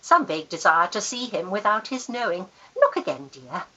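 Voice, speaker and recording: A woman's voice: medium pitch, sing-song, moderate pace, pushed and bright, muffled recording. A woman playing a worldly older aunt in a Victorian radio play, grand, fond and wryly teasing. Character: worldly, affectionate older aunt and wealthy guardian, teasing, wry. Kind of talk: Victorian period radio acting.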